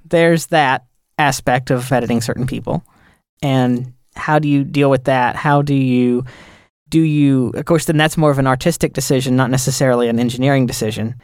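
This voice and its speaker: A man's voice: mid-range voice, fairly even intonation, normal speed, smooth, no room sound, studio quality. A man hosting a podcast, musing about editing, often trailing off and restarting his sentences. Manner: rambling, thoughtful, trails off mid-sentence. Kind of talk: casual podcast chat.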